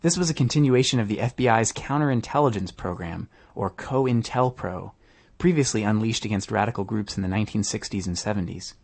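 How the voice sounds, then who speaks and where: A man reading scripted narration as a podcast host, with a husky edge to his voice. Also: on the low side, a little fast, darkish tone.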